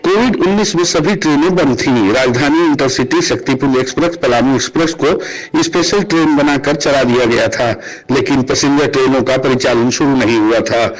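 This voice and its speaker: A man's voice: mid-range voice, normal speed, low-quality recording. A man with an Indian accent reads a scripted news report as a radio correspondent.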